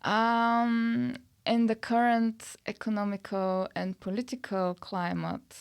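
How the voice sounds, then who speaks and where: Female podcast guest, thirties, smooth clear voice, unhurried, measured answers. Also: on the high side, little pitch movement. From Bulgaria. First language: Bulgarian. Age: 30s. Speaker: podcast guest, nutritionist and online coach. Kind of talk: casual interview answers.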